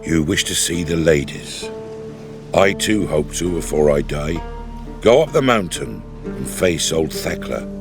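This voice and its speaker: A man's voice: extremely low pitch, lively intonation, unhurried, exaggerated voice. A man playing a devout, firm old peasant guarding a gate in a video game, with folksy rustic speech. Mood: inviting, devout. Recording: faint music behind the voice.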